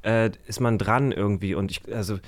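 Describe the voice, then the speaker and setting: A man's voice: on the low side, fairly quick. Man thinking aloud in casual chat. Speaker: podcast guest.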